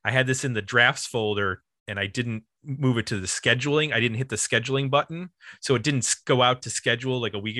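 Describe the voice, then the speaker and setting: A man's voice: medium pitch, bright, forceful tone, dry recording. A man hosting a podcast, talking casually about music in a rambling, enthusiastic way. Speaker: podcast host.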